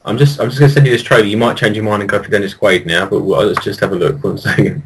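A man co-hosting a podcast in casual, unscripted banter with a playful, excitable manner. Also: on the low side, racing, noisy background. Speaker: podcast host.

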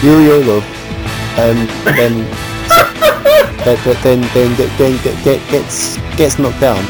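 A man hosting a podcast in improvised comic banter, stammering excitedly, repeating words and often giggling. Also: mid-range voice, slow pace, loud music behind the voice, poor audio. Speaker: podcast host.

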